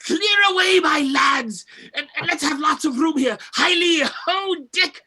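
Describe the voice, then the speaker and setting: Jolly old master, woman acting: high, bright, forceful, hearty exclamations, drawn out, radio play. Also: unhurried. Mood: merry, shouting. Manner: booming, hearty, laughing exclamations. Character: jovial, hearty, fat old male master merchant.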